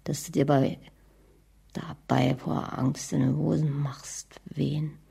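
A middle-aged woman playing a bitter, scornful, nagging wife in a radio drama, with a raspy voice. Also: sits low, flat delivery, takes it slowly, mellow, heavily acted.

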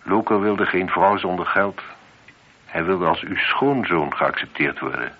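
Casting: methodical police inspector for radio drama, low voice, probing and courteous; muffled, noisy old recording. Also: male voice, lively intonation. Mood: measured, accusing. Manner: measured, probing, politely insistent. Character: shrewd, methodical male chief police inspector confronting a suspect. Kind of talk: radio play dialogue.

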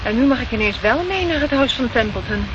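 A woman's voice: on the high side, lively intonation, brisk, lightly acted. An actress playing a detective's eager, inquisitive female companion in a Dutch radio play, chatty and full of questions. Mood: piqued, eager. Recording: noisy, muffled old radio recording.

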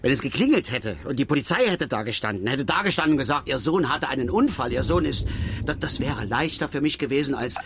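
An actor playing a middle-aged angler in a radio drama, bitter, opinionated and self-pitying, with a grumbling, rambling, sardonic and colloquial delivery. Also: male voice, medium pitch, lively intonation, fast talker, noisy background, lightly acted. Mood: despairing, self-pitying. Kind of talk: naturalistic German radio drama acting.

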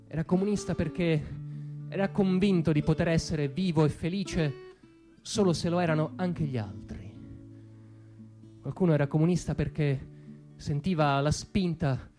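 A man performing a theatrical spoken monologue, declamatory, with insistent repetitions and deliberate pauses. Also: on the high side. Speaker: stage actor performing monologues. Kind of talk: theatrical spoken monologue over music, in the teatro-canzone manner. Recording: guitar music behind the voice. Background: plucked guitar music.

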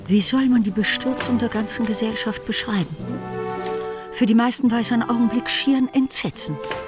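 Expressive female narrator over music. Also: fairly high pitch, moderate pace. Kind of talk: literary scripted narration in a radio play.

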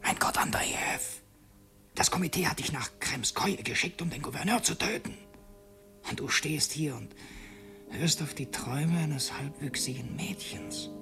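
A man's voice: fairly high pitch, normal speed, creaky, pushed and bright, faint music behind. An actor playing an earnest revolutionary who narrates his secret mission in a literary radio drama, introspective and brooding. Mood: self-reproachful.

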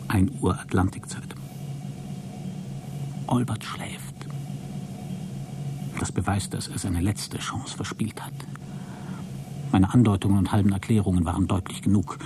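An actor playing a prudish, wounded and coldly scheming space traveller, speaking a formal, wordy log in a radio drama. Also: male voice, fairly low pitch. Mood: cold, resolved. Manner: formal, self-righteous, wordy. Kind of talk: radio drama monologue, spoken log entry.

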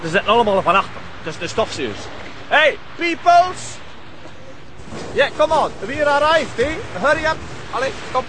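An actor playing a jaunty, shifty smuggler driver with a Flemish accent in a TV drama. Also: male voice, very high voice, big pitch swings, normal speed. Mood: jaunty, loud. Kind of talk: naturalistic TV drama acting. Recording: noisy outdoor street with vehicles.

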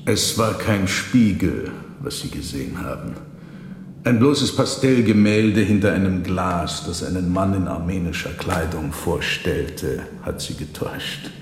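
An actor playing a resigned, candid prisoner, a conjurer speaking formally and deferentially in a German radio drama. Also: male voice, low-pitched, unhurried. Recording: echoey hall, audible background noise.

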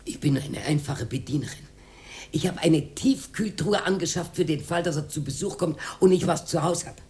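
An actress playing a proud, aggrieved old cleaning woman with an Austrian accent and a husky, cracked voice in a radio drama. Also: low-pitched, normal speed, dark tone. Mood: bitter, distraught. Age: older. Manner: emotional, rambling, swinging from mutter to outcry.

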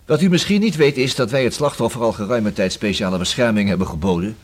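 A man acting a low-pitched police inspector in a Dutch radio drama. He is courteous and measured, with formal Netherlands Dutch, and he presses his questions persistently. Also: lightly acted. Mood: polite, probing.

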